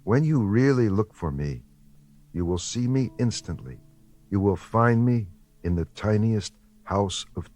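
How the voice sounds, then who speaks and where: A man reads poetry in a fairly low voice. His pace is very slow and his phrasing deliberate and contemplative. Soft music plays behind him. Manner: measured, deliberate phrasing with pauses. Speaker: poetry reader. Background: soft background music.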